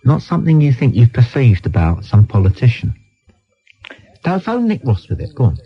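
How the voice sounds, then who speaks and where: British man, phone-in host, husky voice, dismissive, insistent, dull lo-fi radio sound. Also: mid-range voice, huge pitch swings, normal speed. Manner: blunt, dismissive, repeats himself insistently. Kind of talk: argumentative late-night phone-in talk.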